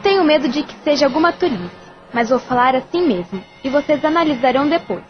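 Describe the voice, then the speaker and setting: An actress playing a gentle, caring young adult daughter who speaks softly and hesitantly. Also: female voice, sits high, lively intonation, fast talker, dull audio, faint music behind. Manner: soft, hesitant.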